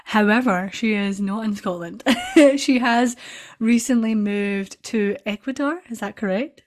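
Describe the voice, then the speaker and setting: A woman's voice: mid-range voice, unhurried. A woman hosting a podcast in a gushing, enthusiastic way, often repeating her words as she goes. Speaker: podcast host. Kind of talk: casual podcast chat.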